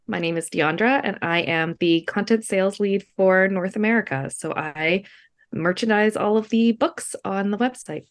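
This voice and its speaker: A woman's voice: mid-range voice, moderate pace. A woman guest on a podcast, talking playfully about her work. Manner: playful, laughing easily. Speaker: guest, bookseller. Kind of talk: podcast interview.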